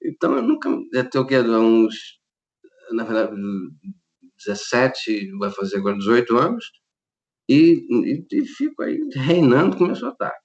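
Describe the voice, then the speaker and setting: Animated brazilian man, slightly dull audio. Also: mid-range voice, moderate pace. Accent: Brazilian Portuguese. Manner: animated storyteller, restarts often, laughs easily. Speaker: interview guest, lighting designer. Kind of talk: relaxed conversational interview.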